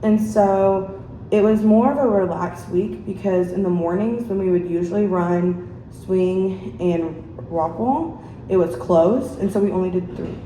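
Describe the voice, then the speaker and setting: A woman's voice: mid-range voice, a little slow, warm tone, a little hiss or hum. A young woman in college, chatting casually to camera as a vlogger, rambling as she goes, filmed on a phone propped on a bathroom sink. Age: young adult. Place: small bathroom. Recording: echoey small bathroom.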